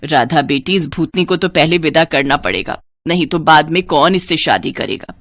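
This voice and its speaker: A woman's voice: deep voice, animated, moderate pace, fairly bright tone, voice pushed down, full character voice. Woman voicing a scornful, bossy mother. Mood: scheming. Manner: taunting, commanding. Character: scornful middle-aged mother, bossy and cold. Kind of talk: cartoon moral story voicing.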